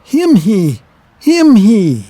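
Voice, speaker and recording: Male actor as huge mute drifter, childlike, agitated wordless grunts, raised pitch, radio play. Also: huge pitch swings, very slow, warm tone, husky, exaggerated voice. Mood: agitated, eager. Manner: repeated wordless grunting syllables.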